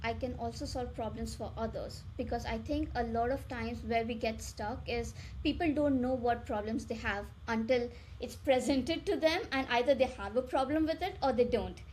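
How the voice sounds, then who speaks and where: A woman hosting a podcast talks candidly and reflectively about her own work. Her voice sits a little high, and she speaks in a relaxed, casual way. Faint background noise can be heard under her voice. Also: normal speed, hardly any pauses, rough recording. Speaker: podcast host. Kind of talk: casual interview chat.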